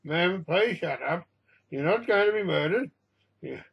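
A man's voice: high-pitched, animated, a little slow, gravelly, slightly rough, dull audio, heavily acted. A man voicing an exasperated cat owner in a story, scolding panicking passengers in a weary, plain-spoken way.

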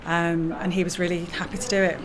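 A woman in her forties gives an interview in a large hall. Her voice sits fairly low and moves very little in pitch. Clear background noise surrounds her. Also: flat delivery, brisk. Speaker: screenwriting lecturer and writer. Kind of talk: interview about own film. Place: large screening hall, indoors. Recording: noisy room with background ambience.

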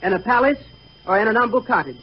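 A man's voice: high-pitched, animated, fast, a little hiss or hum. An actor playing an earnest, pompous, wordy straight man in a 1930s radio comedy act on a muffled recording.

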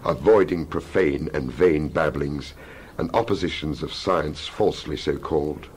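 A man's voice: a bass voice, unhurried, slight background noise. A man giving a dramatized scripture reading with solemn, emphatic, oratorical delivery. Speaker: Bible reader.

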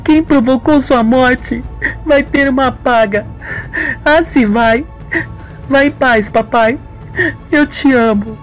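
A woman's voice: high voice, takes it slowly, pauses often, faint music behind, voice pushed up, poor audio. A woman voicing a grieving little boy in a radio soap, mournful and solemn. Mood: grieving, vengeful.

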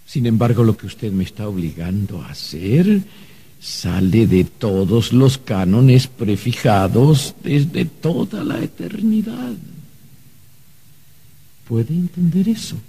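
An actor playing a fussy, flustered archangel bureaucrat in a radio drama, pompous and hesitant. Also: male voice, mid-range voice, expressive, slow pace, pauses often, dark tone, slightly rough, heavily acted. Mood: officious, measured. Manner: hesitant, pompous, hemming and hawing. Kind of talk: Spanish-language radio drama acting.

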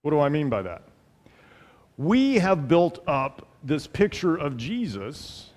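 Middle-aged guy preaching at a pulpit, bit high voice, earnest and emphatic, headset mic, echoey church hall. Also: a little mellow. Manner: earnest, emphatic, repeats phrases for effect. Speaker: pastor. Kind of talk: sermon. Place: large church sanctuary, at a pulpit. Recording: long hall reverb.

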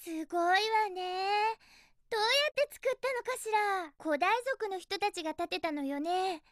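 A woman voicing a cheerful, feisty young female hedgehog in a Japanese video game, with a perky, girlish and expressive delivery. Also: very high voice, normal speed, dry recording, studio quality. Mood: curious, wondering.

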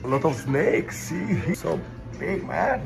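A young man with a fairly high voice chats excitably on a handheld camera in an indoor animal cafe. He speaks slowly, in learner's Korean mixed with English, and laughs often. Music plays clearly under his voice. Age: young adult. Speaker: vlogger. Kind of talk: casual vlog chat.

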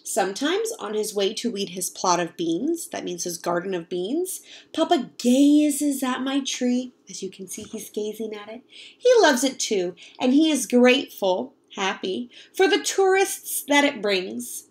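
A young woman reading a picture book aloud to children in an expressive, explanatory way. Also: a little high, measured pace. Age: young adult. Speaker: read-aloud storyteller, teacher. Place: home kitchen, indoors.

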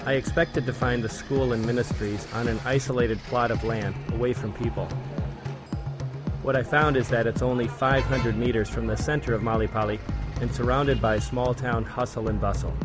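A man, a church volunteer, reading a plain, measured documentary voice-over about a mission trip. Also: mid-range voice, steady tone. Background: background music.

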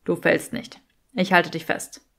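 A woman's voice: lowish voice, flat delivery, a little slow, creaky, a bit bright, exaggerated voice. A woman voicing a young male wizard, cocky, teasing and protective, speaking tersely in an audiobook. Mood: reassuring. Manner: terse, self-assured.

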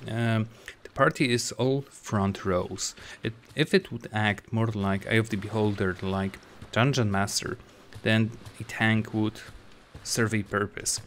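Let's play host, male, low voice; casual, halting commentary with lots of fillers and self-corrections. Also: steady tone, fairly bright tone, a little hiss or hum. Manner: hesitant, many fillers and restarts.

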